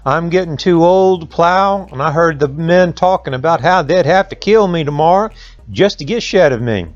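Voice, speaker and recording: A man's voice: fairly high pitch, moderate pace, no room sound, highs cut off, voice pushed up, lightly acted. A man voicing a weary old farm animal complaining in a folk tale. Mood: forlorn, complaining. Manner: folksy complaining.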